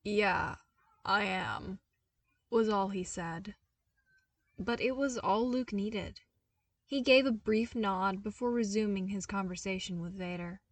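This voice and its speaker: A woman's voice: medium pitch, a little slow, no echo, distant mic. A woman reading scripted fan fiction as an audiobook narrator. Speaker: podfic narrator.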